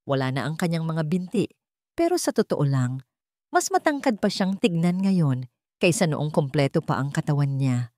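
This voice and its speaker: A woman's voice: low-pitched, big pitch swings, normal speed, warm tone, no echo, studio quality. A woman reading a scripted dramatic story as a storyteller.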